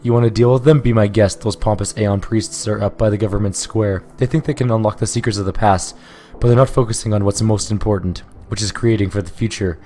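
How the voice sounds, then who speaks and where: A man's voice: lowish voice, steady tone, brisk, hardly any pauses, dark tone, close to an everyday voice. A man reading as a blunt, contemptuous slaver woman. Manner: curt, contemptuous. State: hoarse from illness. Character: hard-nosed female slaver, blunt and dismissive.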